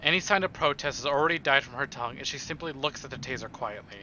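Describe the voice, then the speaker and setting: A man's voice: mid-range voice, quick pace, pushed and bright, highs cut off, slight background noise. A man streaming a game, reading narration aloud expressively and dropping in casual, playful asides.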